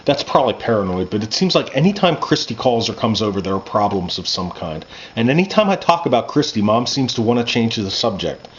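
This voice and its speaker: A man, an author reading his own first-person coming-of-age fiction aloud in a conversational, expressive way. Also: lowish voice, fairly quick, hardly any pauses. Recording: muffled lo-fi with background noise.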